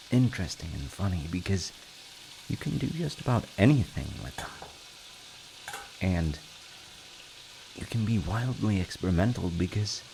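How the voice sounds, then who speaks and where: A man playing a cozy, playful, reassuring dad in an intimate audio roleplay, talking softly and conversationally to the listener with gentle teasing. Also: lowish voice, gravelly, heavily acted. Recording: noticeable kitchen noise of sizzling and stirring. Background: frying sizzle and kitchen sounds.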